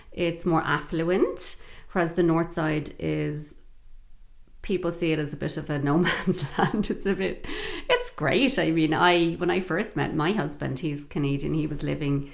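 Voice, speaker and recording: This is a middle-aged woman from Dublin's north side with a clear Dublin Irish accent. Her voice sits low and has a heavy gravelly fry running through it. She talks through her memories at an easy, reflective pace, pausing on fillers. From Ireland, Dublin north side. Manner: reflective, frequent fillers, laughs while telling stories. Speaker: guest. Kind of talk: conversational interview storytelling.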